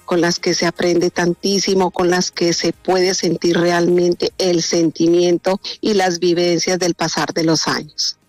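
A woman's voice: fairly low pitch, little pitch movement, measured pace, hardly any pauses, a little mellow, no room sound, faint music behind. A middle-aged Colombian woman calling a radio show, chatty and effusive, laughing often. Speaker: radio caller. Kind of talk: warm phone-in chat.

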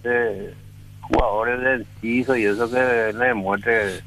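A man, rambling about football on a radio show in a halting, slangy way. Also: medium pitch, fairly even intonation, fairly bright tone, a little hiss or hum, low-quality recording. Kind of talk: casual football talk on radio.

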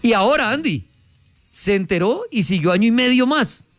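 A man's voice: very high voice, lively intonation, normal speed, a bit bright, no echo, clean studio sound, faint music behind. A man with a Colombian accent hosting a casual call-in show, bantering in slangy, colloquial speech and acting out imagined dialogue. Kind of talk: casual banter with co-hosts and callers.

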